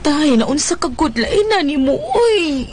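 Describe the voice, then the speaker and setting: A woman's voice: high-pitched, big pitch swings, takes it slowly, a little mellow, heavily acted. A woman playing a worried, loving but exasperated daughter in a comic Bisaya radio drama, emotional and whining.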